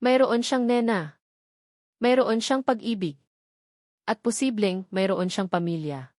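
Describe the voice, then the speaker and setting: A woman reading a scripted romance story as narrator, in a measured storytelling delivery. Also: medium pitch, a little mellow, no room sound, clean studio sound.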